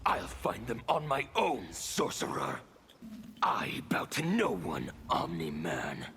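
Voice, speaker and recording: A fanatical rebel villain from a video game, with a sharp, forceful gravelly voice. He rants theatrically, swinging wildly between menace and fervour, over faint background noise. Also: fairly low pitch, huge pitch swings, slow, exaggerated voice. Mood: haughty menace. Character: zealous disfigured male anarchist revolutionary villain.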